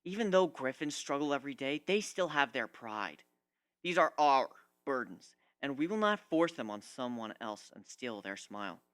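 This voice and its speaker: A man's voice: mid-range voice, big pitch swings, moderate pace, fairly bright tone, smooth. A man voicing a proud, embittered young griffin warrior in a story reading, blunt and emphatic. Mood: grave, impassioned.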